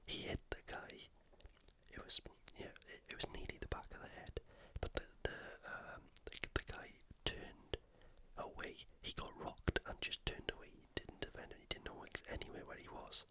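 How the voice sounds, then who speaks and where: An ASMR host speaking casually in a breathy, unvoiced whisper, giving commentary on sport and gameplay. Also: moderate pace. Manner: casual, conversational.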